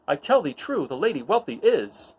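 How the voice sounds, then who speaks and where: A man's voice: on the high side, big pitch swings, normal speed, darkish tone, breathy edge, muffled recording, voice pushed up. A man voicing an earnest, fervent young rebel hero in a declamatory Shakespearean storybook reading. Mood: coaxing.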